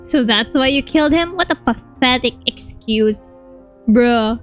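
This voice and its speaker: A woman's voice: a little high, measured pace, soft background music. A woman streaming a game with casual, slangy reaction commentary in an informal manner.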